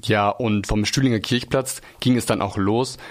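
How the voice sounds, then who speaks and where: A man's voice: lowish voice, steady tone, moderate pace. A man working as a radio reporter, narrating a local event in a conversational way.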